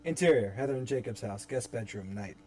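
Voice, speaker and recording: A man's voice: mid-range voice, lively intonation, fairly quick, mellow. A man reading stage directions as narrator in a dramatic screenplay table read. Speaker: narrator and reader.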